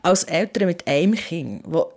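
A woman hosts a podcast. Her voice sits a little low, and she addresses listeners in a conversational presenting style. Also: lively intonation, normal speed, darkish tone, soft background music. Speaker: podcast host.

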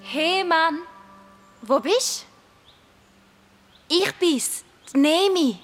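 Little swiss german girl, really high voice, earnest and caring, kids radio play. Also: lively intonation, extremely slow, faint music behind, heavily acted. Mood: friendly. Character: earnest, concerned young girl who loves the forest. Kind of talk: children's radio play acting.

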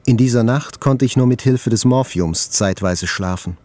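A man narrating a literary first-person story for a radio drama in a measured, reflective manner. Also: medium pitch, mellow.

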